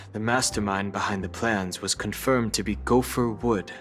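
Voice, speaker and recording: A man voicing a mature, thoughtful gentleman in a video game, calm, polite and deliberate. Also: on the low side, natural voice. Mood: somber. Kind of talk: scripted game dialogue. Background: faint background music.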